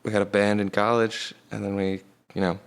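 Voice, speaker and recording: A young man and band singer with a low resonant voice, chatting casually in an interview and rambling with lots of filler words. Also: little pitch movement, fairly quick, vocal fry.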